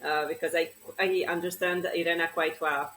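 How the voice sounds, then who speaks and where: Low-voiced Hungarian-speaking woman guest. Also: steady tone, normal speed, a bit bright, low-quality recording. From Romania. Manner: modest, chatty, with frequent hesitations. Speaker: interview guest, surgeon. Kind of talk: casual conversational interview.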